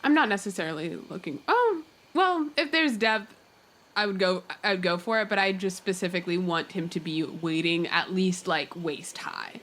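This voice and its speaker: A woman with a slightly low voice takes part in a tabletop game. Her talk is informal, playful and conversational. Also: normal speed, fairly bright tone. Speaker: guest tabletop player. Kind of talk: casual tabletop game chat.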